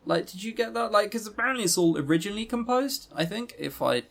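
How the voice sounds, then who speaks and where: Higher-voiced man in a rambling chat. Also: expressive, quick pace, creaky, a bit bright. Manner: rambling, informal, blunt. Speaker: podcast co-host. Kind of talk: casual review chat.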